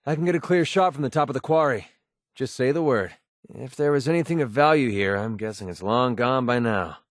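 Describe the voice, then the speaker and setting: A man's voice: mid-range voice, a little fast, dry recording, clean studio sound, lightly acted. An actor playing a cynical young mercenary gunman in a video game, talking in a casual, sardonic, world-weary way. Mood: wry, matter-of-fact. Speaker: game companion character. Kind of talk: scripted video game dialogue.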